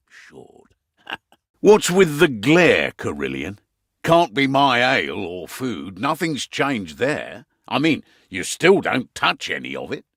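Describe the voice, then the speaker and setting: A roguish, middle-aged innkeeper and spymaster for a video game. His delivery is unhurried and swings widely in pitch as he teases and grumbles in wry, colloquial banter. The sound is close and dry. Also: fairly bright tone, no echo, full character voice. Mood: needling. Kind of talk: video game character voice lines.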